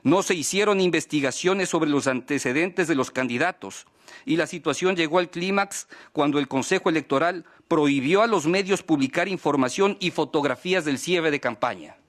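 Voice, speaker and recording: A man's voice: a little high, moderate pace. A man, reading out a formal prepared statement as an advocate at a hearing. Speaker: press freedom advocate speaking at a human rights hearing.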